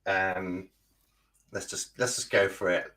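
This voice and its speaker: A man's voice: low-pitched, steady tone, slow pace, pushed and bright. A man speaking as a guest on a live stream, chatty and informal. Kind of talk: casual live-stream chat.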